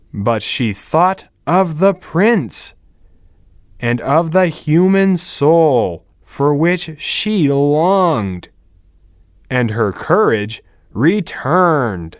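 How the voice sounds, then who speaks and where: A man reading English words and fairy-tale text as slow, carefully enunciated scripted narration. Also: fairly high pitch, very animated, dry recording, studio quality.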